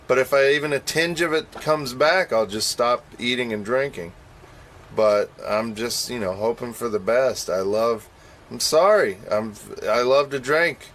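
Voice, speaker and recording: A man's voice: medium pitch, unhurried, fairly bright tone, slight background noise. A man chatting casually on a radio show, rambling and making self-deprecating admissions. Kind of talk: casual on-air chat.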